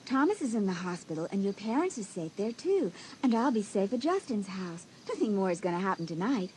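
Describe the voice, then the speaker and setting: A woman's voice: medium pitch, sing-song, fairly quick, a little mellow, a little hiss or hum, natural voice, low-quality recording. A woman voicing a carefree, secretive teenage girl who brushes off worries lightly in an audio drama. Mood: breezy. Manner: light, dismissive, teasing.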